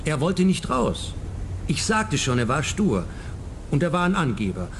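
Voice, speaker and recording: Lebhaft betonender, selbstgerechter Geschäftsmann. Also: male voice, a little high, sing-song, a little slow, a little hiss or hum. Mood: defensive. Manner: defensive, self-justifying. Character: defensive, smug, well-off son, middle-aged man. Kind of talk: radio crime comedy acting.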